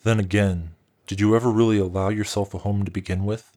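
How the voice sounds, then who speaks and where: A towering old male dragon, as a storyteller puts him on, speaking in a very low, unhurried bass. He sounds calm, knowing and gentlemanly, soothing rather than menacing, like a wise elder in a storybook. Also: lightly acted. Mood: calm, tender. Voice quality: deep bass voice, slow and soothing. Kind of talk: storybook reading.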